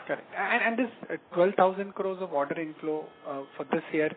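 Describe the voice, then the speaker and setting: An Indian-accented man with a slightly high voice asks questions on a business call, stumbling and repeating words. The line is narrow and phone-like, with chatter behind. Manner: halting, repeats words in bursts. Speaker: analyst asking questions. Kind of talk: question and answer on a conference call.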